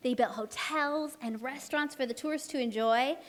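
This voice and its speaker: A woman tells a story to young children at a live puppet show. Her high voice is expressive and rings through an echoey hall. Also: moderate pace. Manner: expressive, addresses young children with simple questions.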